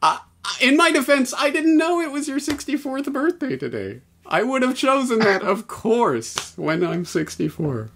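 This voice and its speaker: A man's voice: normal speed, vocal fry, a little hiss or hum. A man in his forties hosting a casual video call conversation on a headset from a room at home, with dry humor. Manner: dry, wry humor with lively pitch swings. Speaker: host.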